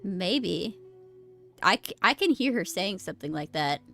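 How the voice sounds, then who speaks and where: A woman's voice: medium pitch, very animated, measured pace, pushed and bright. Rambling woman streamer over music. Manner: rambling, self-interrupting, easily amused. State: sick with a cold. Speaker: game streamer. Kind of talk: casual live game stream commentary, reading dialogue aloud. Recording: game music behind the voice. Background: game music.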